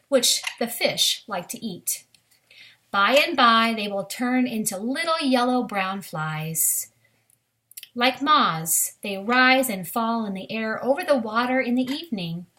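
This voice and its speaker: A woman with a fairly high voice reads a nature book aloud for children. She speaks to her young listeners directly, as a teacher would in a lesson. Now and then she adds a short aside of her own to the written text. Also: expressive, slow pace, sharp tone.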